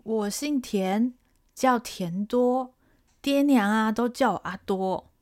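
A woman voicing a poor, stubborn orphan peasant boy who talks in a drawn-out, pleading way. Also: fairly high pitch. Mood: wistful. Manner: defiant, pleading, drawn-out delivery.

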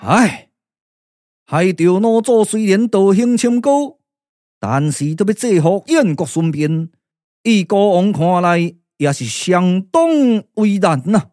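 Pompous, slow-speaking ancient king. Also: high-pitched, animated, darkish tone, dry recording, clean studio sound. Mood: brooding. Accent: Taiwanese. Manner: stately, pompous, measured declamation. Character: ambitious, demanding male king of ancient Qin. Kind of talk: Taiwanese glove-puppet radio drama acting.

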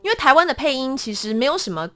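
A woman's voice: high-pitched, fast talker, soft background music. A woman with a Taiwanese accent chatting casually and expressively as an interview guest. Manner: animated, expressive storytelling.

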